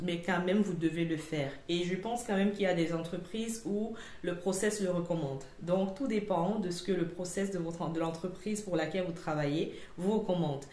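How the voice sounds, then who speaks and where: A woman presenting an informal lesson in a didactic way, with hesitant phrasing and frequent pauses. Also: lowish voice, darkish tone. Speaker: presenter of a customer service channel. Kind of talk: informal instructional talk to camera. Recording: echoey, roomy sound.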